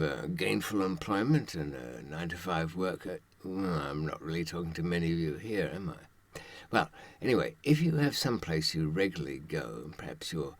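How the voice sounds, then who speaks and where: Deep-voiced older man, wry and dry. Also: dry recording. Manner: wry, dryly sardonic, rhetorical asides. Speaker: true-crime advice presenter and author. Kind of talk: conversational advisory monologue to the audience.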